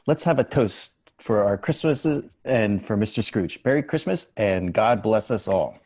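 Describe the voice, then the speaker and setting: A man playing a kindly, grateful poor father in a dramatic table read, his voice husky. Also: lowish voice, animated, unhurried, a little mellow. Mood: tender, grateful.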